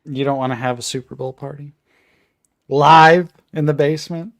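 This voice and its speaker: A thirty-year-old man co-hosting a casual live stream, quick to laugh and tease with dry sarcasm. His voice sits a little higher than most men's. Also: fairly even intonation, no room sound. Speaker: co-host of a toy collecting live stream.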